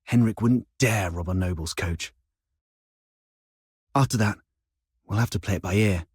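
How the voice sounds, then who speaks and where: An actor playing a worldly, streetwise schemer in a video game cutscene, with a wry, conversational way of talking. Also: male voice, on the low side, unhurried, darkish tone, husky, no echo, clean studio sound. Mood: wry, confident.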